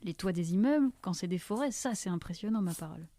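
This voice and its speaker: Woman acting a nomadic seed-bearer, lowish mellow voice, enthusiastic, chatty, marvelling, audio drama. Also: lively intonation, quick pace, lightly acted. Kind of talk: fiction podcast dialogue.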